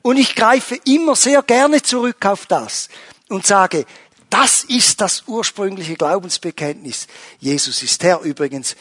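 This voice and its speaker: A man preaching a sermon to his congregation, rising in pitch and volume when he stresses a point. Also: husky. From Switzerland. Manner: animated, rises in pitch and volume for emphasis. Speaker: pastor.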